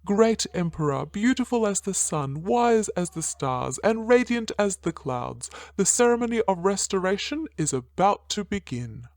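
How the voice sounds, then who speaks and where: A woman's voice: mid-range voice, big pitch swings, moderate pace, few pauses, a little mellow, no echo, studio quality, voice pushed down. A woman voicing a crafty, quavering old male court official with ceremonious speech.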